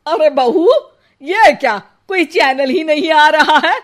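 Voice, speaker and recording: A woman's voice: high-pitched, slow. A woman voicing a nagging, quarrelsome mother-in-law in a cartoon, scolding and sarcastic. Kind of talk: cartoon voice acting.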